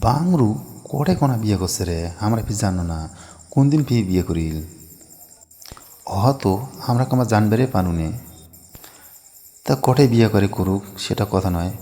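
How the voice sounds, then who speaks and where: A man's voice: on the low side, animated, normal speed, pauses often, warm tone. A man voicing a nosy, gossiping village man in a cartoon, with a northern Bengali Rangpuri accent. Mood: gossiping, envious. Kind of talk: cartoon dialogue in village dialect. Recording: reverberant recording with long tail.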